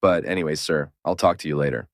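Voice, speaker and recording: A man's voice: very deep voice, fast talker, no echo, studio quality. A man playing a polite, unsure employee in an amateur audio drama. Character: polite, unsure male employee named Jonathan.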